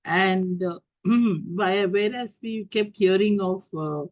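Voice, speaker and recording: A woman's voice: mid-range voice, dry recording. Indian lady with a cold, middle-aged, lots of ums and restarts, dull dry call audio. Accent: Indian English. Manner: halting, many fillers and restarts. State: has a cold and cough. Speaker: guest speaker. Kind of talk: talk to an online group.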